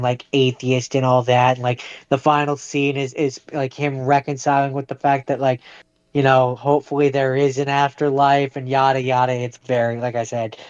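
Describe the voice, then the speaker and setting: A man's voice: medium pitch, clear, resonant tone, dry recording, dull audio. A man co-hosting a podcast, talking casually about films. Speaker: podcast co-host. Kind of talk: casual chat reviewing movies.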